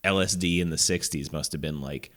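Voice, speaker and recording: A man with a cold and a very deep voice, chatting and joking as a podcast co-host. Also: fairly quick, fairly bright tone. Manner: rambling, joking, laughs a lot. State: sick, sore throat. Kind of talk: casual chat.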